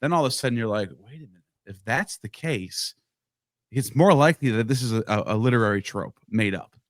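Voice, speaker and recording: Guy on a podcast, mid-pitch, keeps restarting sentences, dry close sound. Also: fairly quick, no room sound. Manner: talks in bursts with many restarts. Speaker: podcast co-host or guest. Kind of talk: casual podcast discussion.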